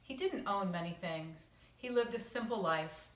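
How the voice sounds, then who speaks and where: A woman teacher reading a picture book aloud to children, with casual asides and expressive delivery. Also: medium pitch, unhurried. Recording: roomy echo, distant microphone.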